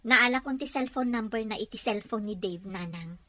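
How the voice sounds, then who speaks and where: A woman's voice: fairly high pitch, normal speed, bright, forceful tone, no room sound. A woman playing a patient, troubled young wife. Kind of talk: Ilocano audio drama acting.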